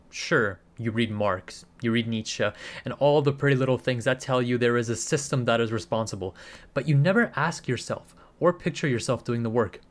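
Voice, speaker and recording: A man's voice: mid-range voice, natural voice. Man acting a scornful, menacing interrogating agent. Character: hard, contemptuous male government agent interrogating an informant. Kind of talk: radio play acting.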